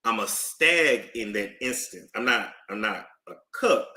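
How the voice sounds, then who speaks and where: Animated man with a bright, echoey voice. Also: lowish voice, measured pace. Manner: animated, swings between loud outbursts and muttering. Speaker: streamer reading court documents. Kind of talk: casual commentary. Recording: echoey room.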